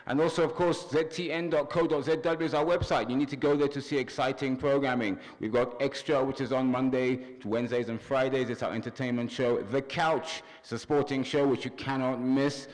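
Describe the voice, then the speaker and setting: A man's voice: mid-range voice, fairly even intonation, a little fast, few pauses. Male TV host, upbeat announcer delivery, reverberant studio. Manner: upbeat, announcer-like reading of credits and shout-outs. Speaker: TV talk show host. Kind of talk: hosting a panel broadcast.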